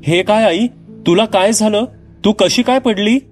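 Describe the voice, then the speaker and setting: A man plays a worried young girl in a horror storybook tale. The pitch is lifted high, the manner anxious and pleading, with music behind. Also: unhurried. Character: worried young girl fretting over her hurt mother.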